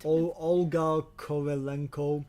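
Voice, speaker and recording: A man co-hosting a casual podcast about movies, talking in halting, hesitant phrases with casual swearing. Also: fairly high pitch, level tone, warm tone. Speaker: podcast co-host.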